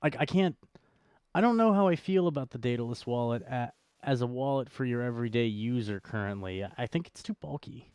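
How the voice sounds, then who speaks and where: Man, talk show co-host, mid-pitched voice, casual, rambling, repetitive for emphasis, dry studio-like sound. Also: animated, a little fast, a little mellow, smooth, dry recording. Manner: repeats phrases for emphasis, rambling. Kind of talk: casual unscripted chat about cryptocurrency.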